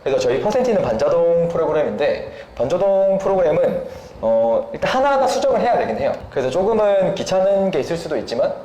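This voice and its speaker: A man's voice: a little high, dark tone. A man giving a polite explanation as an interview guest, often humming briefly between phrases. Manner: polite, frequent short hums between phrases. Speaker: software developer, interview guest. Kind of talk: explanatory interview talk about a product. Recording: roomy echo, faint background noise.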